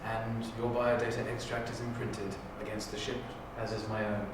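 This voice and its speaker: A man playing a weary, secretive old Time Lord father, speaking haltingly and gravely in an improvised drama. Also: on the low side, little pitch movement, loud music behind the voice, natural voice. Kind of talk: improvised audio drama audition. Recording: very echoey room, distant mic, clear noise.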